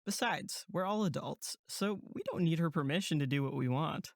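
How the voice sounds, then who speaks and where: A woman's voice: deep voice, lively intonation, normal speed, creaky, no echo, full character voice. A woman voicing a protective, dry-humored young male camp counselor in an audio drama, casual and reassuring. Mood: firm, casual. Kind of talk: horror audio drama acting.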